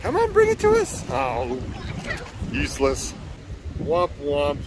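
A man's voice: fairly high pitch, huge pitch swings, slow. A man filming a casual outdoor vlog by the water, teasing and joking as he goes. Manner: teasing, playful, sings silly tunes. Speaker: vlogger. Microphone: handheld camera. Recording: noisy outdoor wind.

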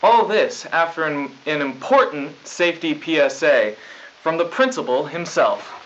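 A young man playing an earnest, self-important high school student president, reading stiff, formal mock news at a desk. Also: animated, measured pace, a bit bright. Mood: stiff, official. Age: young adult. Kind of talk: scripted mock 1990s school news broadcast. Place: small studio news desk. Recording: dull lo-fi 1990s videotape.

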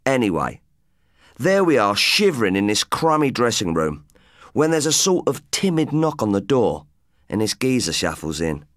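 A man's voice: mid-range voice, sing-song, moderate pace, a bit bright, dry recording, studio quality. A man playing a boastful English rock guitarist, telling his tale in chatty, matey slang, as in an audiobook. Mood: cocky, reminiscing. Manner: cocky, matey, colloquial. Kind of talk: first-person audiobook storytelling in colloquial slang.

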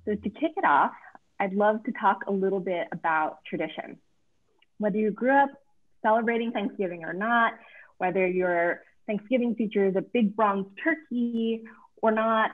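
A woman moderates a virtual panel of authors, speaking in an effusive, measured way. Her voice comes through thin and phone-like, squeezed down to a narrow band. She sits at a middle pitch. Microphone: video call. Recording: tinny phone-like video call audio.

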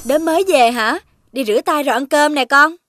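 A woman voicing a caring, unbothered mother in a children's cartoon, with a gentle, motherly manner. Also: high voice, fairly quick, faint music behind.

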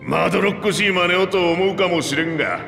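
A man's voice: high-pitched, brisk, creaky, a bit bright. An actor voicing a boisterous old reptilian king in a video game, commanding and playful, with grandiose archaic speech. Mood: hearty, teasing. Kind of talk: theatrical video game voice acting. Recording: echoey hall reverb, music behind.

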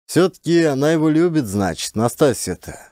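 A man's voice: a little high, very animated, normal speed, warm tone, dry recording, studio quality, voice pushed up. A man voicing a brash, idle, round-faced peasant from a forest post, grumbling bluntly in folksy rural speech for an audiobook. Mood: grumbling, self-justifying.

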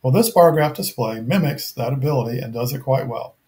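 Middle-aged man, medium pitch, scripted review narration, methodical, matter-of-fact. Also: fairly quick, a little mellow. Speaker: product reviewer.

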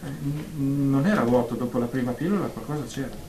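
A man's voice: mid-range voice, brisk, mellow, lightly acted. A man playing a timid, fretful therapy patient in a radio drama, stammering and repeating words hesitantly. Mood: anxious. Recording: echoey room, faint noise.

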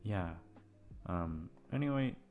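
A man's voice: fairly low pitch, expressive, slow, phone-quality sound. A man in his twenties chatting casually and hesitantly to listeners, self-deprecating and swearing freely as he rambles. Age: 20s. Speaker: voice actor addressing listeners. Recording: music playing behind the voice. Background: background music.

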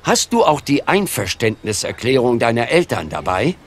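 A man's voice: medium pitch, big pitch swings, moderate pace. A man playing a good-natured, matter-of-fact casting show official in an audio drama. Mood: brisk, friendly.

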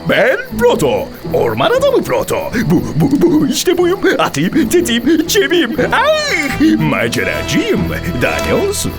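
Boastful, frantic cartoon hunter: high, wildly animated, exaggerated, over strong music. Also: female voice, unhurried, few pauses, heavily acted. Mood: boastful, menacing. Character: boastful, manic forest-man adventurer hunting an animal. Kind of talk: children's cartoon voice acting.

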